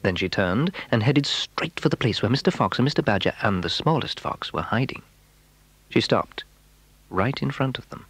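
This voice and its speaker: A man narrating a children's story with hushed, dramatic suspense. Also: medium pitch, animated, moderate pace, husky, no echo. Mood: suspenseful.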